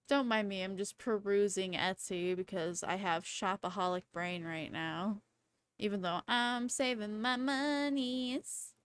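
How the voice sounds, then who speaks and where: A woman in her twenties chatting casually on a livestream in a rambling, playful, excitable and slangy way. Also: medium pitch, clear, resonant tone, no room sound. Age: 20s. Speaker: livestreamer, VTuber host.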